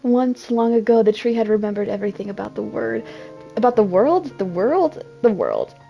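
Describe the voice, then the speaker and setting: A woman's voice: on the high side, sing-song, moderate pace, creaky, highs cut off, soft background music. A woman reading a game's story aloud in a dramatic style, often breaking off into casual, self-deprecating comments.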